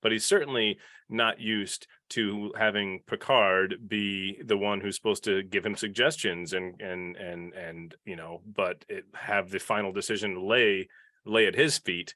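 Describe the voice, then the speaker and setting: A man talking casually and opinionatedly on a podcast panel, rambling into asides and animated retellings. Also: on the low side, a little slow, no echo.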